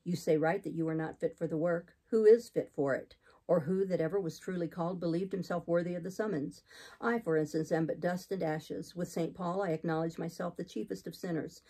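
A woman voicing a zealous, austere male missionary in an audiobook, solemn, deep and unyielding as he exhorts. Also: fairly even intonation, fairly quick, dark tone, breathy edge, rough recording. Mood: solemn urging. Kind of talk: audiobook character reading.

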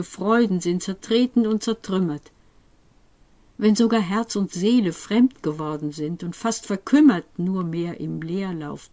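A woman giving an expressive, dramatic recitation of poetry, with deliberate phrasing that moves between forceful exclamation and hushed drops. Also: medium pitch. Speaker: poetry reader.

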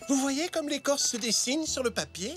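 High, bouncy zebra workshop leader. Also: female voice, expressive, moderate pace, music in the background. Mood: playful, instructive. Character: playful, kindly adult zebra art workshop leader. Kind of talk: children's cartoon voice acting.

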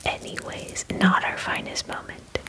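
A woman in her twenties doing a whispered ASMR personal-attention roleplay, gentle, soothing and breathy, close to the microphone. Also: unhurried. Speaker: ASMR roleplayer playing a werewolf spa groomer. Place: small indoor room. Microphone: close microphone, near the camera.

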